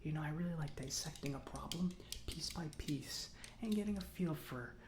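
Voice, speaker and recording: A man playing a chatty, easygoing robot mechanic in an ASMR roleplay, speaking softly in a breathy half-whisper with a rambling, conversational manner. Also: a little high, normal speed, a little hiss or hum, close to an everyday voice. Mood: calm, chatty. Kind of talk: soft-spoken ASMR roleplay.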